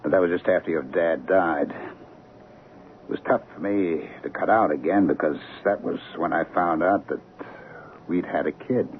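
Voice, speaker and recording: An actor playing a shifty, self-pitying husband back from a faked death, halting and pleading, in an old-time radio drama. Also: male voice, deep voice. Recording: narrow, noisy old radio recording.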